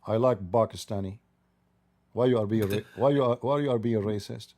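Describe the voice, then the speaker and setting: Sarcastic man, dark voice. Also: fairly low pitch, brisk. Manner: sarcastic, needling, repeats questions. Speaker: debate livestream host. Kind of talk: mocking, provocative debate talk.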